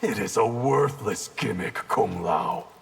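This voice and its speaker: An actor playing a cold, proud ninja clan villain in a video game, gravelly, disdainful and threatening. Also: male voice, lowish voice, expressive, unhurried. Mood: cold contempt. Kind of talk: video game fight banter. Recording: game audio with clear background noise.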